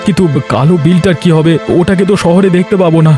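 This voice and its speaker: A man's voice: high-pitched, steady tone, fast talker, close to an everyday voice. A man voicing an earnest, devoted young village milk seller in a Bengali cartoon, speaking plainly. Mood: worried. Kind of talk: Bengali animated moral-tale voice acting. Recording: music bed behind the voice.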